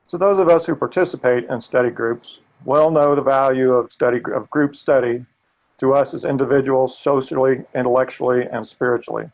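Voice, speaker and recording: An older man with a gravelly voice, earnestly presenting a live training webinar. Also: medium pitch, brisk, narrow, tinny audio. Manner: earnest, steady, occasional restarts. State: gravelly voice today.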